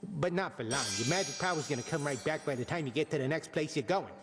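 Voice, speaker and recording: Man as a reverberant, higher-voiced magic mentor. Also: fast talker, music in the background, close to an everyday voice. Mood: encouraging. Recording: long reverb, faint noise.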